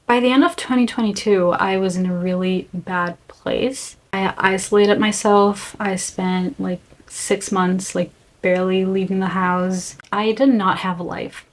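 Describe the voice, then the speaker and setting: Young woman. Mid pitch, candid and earnest, halting phrasing. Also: low-quality recording. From Brazil. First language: Portuguese. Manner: earnest, reflective, speaks in short halting phrases. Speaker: vlogger. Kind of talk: candid personal reflection to camera.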